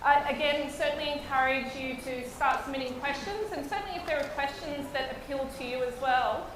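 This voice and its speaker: A woman's voice: sits high, a little fast, noisy background. Australian woman, panel moderator, formal and polite; distant mic, big reverberant hall. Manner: polite, formal, frequent "uh" fillers.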